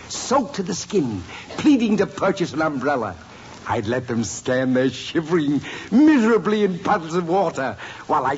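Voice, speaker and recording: A man playing a pompous, greedy department store floor manager who talks with plummy self-importance in a 1950s radio sitcom. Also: fairly high pitch. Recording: muffled old radio recording, faint hiss.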